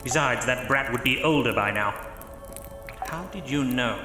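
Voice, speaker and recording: A man's voice: medium pitch, moderate pace, natural voice. Man acting a haunted, sinister priest, cavernous echo. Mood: menacing, shaken. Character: sinister priest with dark secrets, turning terrified. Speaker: actor in horror audio drama. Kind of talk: melodramatic radio horror acting. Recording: echoey reverberant hall, music underneath.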